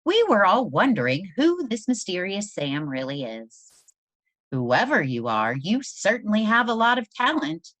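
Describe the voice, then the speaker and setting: A courteous, admiring head librarian from a children's storybook, voiced by a woman reader. She speaks slowly and enthusiastically, with big swoops in pitch. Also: big pitch swings, a bit bright, no room sound. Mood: warm, admiring. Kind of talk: storybook character voice.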